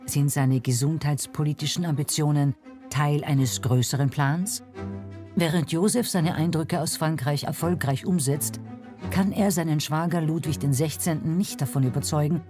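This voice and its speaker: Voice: medium pitch, normal speed, a little mellow. Scripted documentary narrator over music.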